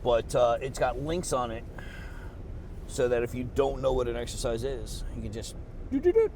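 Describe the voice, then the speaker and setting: A man's voice: mid-range voice, very animated, moderate pace. Rambling, worn-out man outdoors. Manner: rambling, profane, with many fillers and restarts. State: worn out after a workout. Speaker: fitness vlogger. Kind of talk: casual post-workout vlog talk. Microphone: clip-on mic under a jacket. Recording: noisy outdoor street recording. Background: traffic and street noise.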